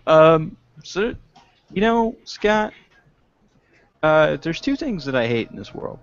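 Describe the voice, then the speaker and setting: A man's voice: a little high, very animated, clear, resonant tone, no echo. Young man co-hosting a webcam podcast. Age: young adult. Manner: joking, laughs often, drawn-out delivery. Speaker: podcast co-host. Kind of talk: casual political chat. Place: home room, video call. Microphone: webcam mic on video call. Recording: dull lo-fi video-call audio.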